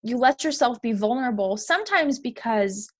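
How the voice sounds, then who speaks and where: Woman, young adult, podcast guest, slightly high pitch, earnest, casual chat. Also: animated, normal speed. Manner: earnest, uses "like" a lot.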